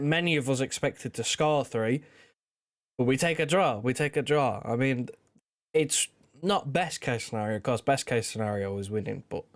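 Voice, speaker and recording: Man co-hosting a casual football chat. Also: mid-range voice. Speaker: livestream co-host.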